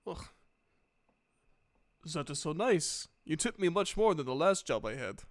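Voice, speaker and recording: A man's voice: fairly high pitch, big pitch swings, unhurried. A man playing a friendly, grateful human tavern worker in a tabletop game. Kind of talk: tabletop roleplay character acting.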